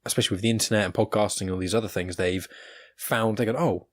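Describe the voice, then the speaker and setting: Fast-talking low-voiced male podcast host. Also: steady tone, no room sound. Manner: enthusiastic, rambling, earnest. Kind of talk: casual conversational interview.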